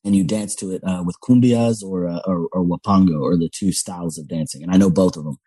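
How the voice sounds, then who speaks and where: A man's voice: low-pitched, dark tone, husky, dry recording. A young man from Texas, a comedian telling rambling stories in a casual podcast chat. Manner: rambling storyteller, quick and loose. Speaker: comedian guest.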